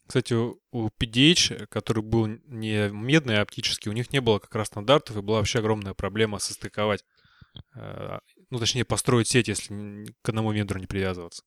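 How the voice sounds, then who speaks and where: A man's voice: on the low side, fairly even intonation, a little fast, fairly bright tone, no echo, clean studio sound. A man hosting a casual technical discussion, asking questions in an informal, inquisitive way. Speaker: podcast host asking questions.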